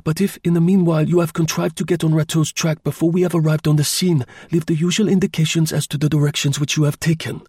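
A man's voice: a little high, little pitch movement, fast talker, few pauses, warm tone, breathy edge, no room sound, pitched above the performer's own voice. A man voicing a masterful, sarcastic agent in command, curt, decisive and dry, in a dramatised storybook. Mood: urgent, decisive. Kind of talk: dramatised audiobook dialogue.

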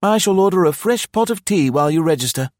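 Audiobook role performed by a man: hearty British host, jaunty and clipped, voice lifted high above natural register. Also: animated, quick pace, no echo, studio quality, natural voice. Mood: brisk, hospitable. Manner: jaunty, effusively polite, clipped phrases. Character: cheerful, fussy, hearty British male guesthouse host. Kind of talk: audiobook character voice.

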